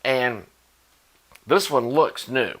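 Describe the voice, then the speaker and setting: A man's voice: medium pitch, very slow, low-quality recording. A man in his sixties speaks in a mid-range voice. His delivery is unscripted and folksy, wandering along like a hobbyist chatting to followers of his channel. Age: 60s. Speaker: electronics hobbyist and channel host. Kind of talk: casual unscripted vlog talk.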